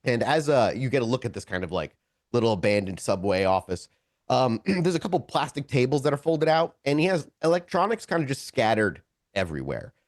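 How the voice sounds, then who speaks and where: Man narrating a tabletop game, lively intonation. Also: medium pitch, no room sound, clean studio sound. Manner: halting, self-correcting, searching for words. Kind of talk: improvised descriptive narration addressed to players.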